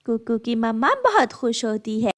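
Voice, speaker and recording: A woman's voice: fairly high pitch, big pitch swings, normal speed. A woman narrating a scripted cartoon story as a storyteller.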